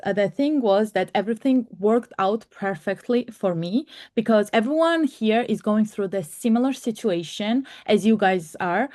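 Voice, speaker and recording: A young woman from Georgia, whose first language is Georgian, talks about her first year at university. Her English is earnest and a little non-native in phrasing, and her voice sits at a middle pitch. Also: darkish tone. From Georgia (country). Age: young adult. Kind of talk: panel talk.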